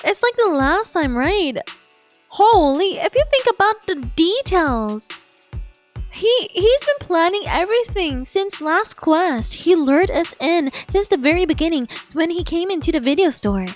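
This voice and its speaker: A woman's voice: extremely high pitch, measured pace, faint music behind. A woman streaming a game live, reacting playfully and expressively and reading dialogue aloud. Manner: playful, expressive, cutesy exclamations.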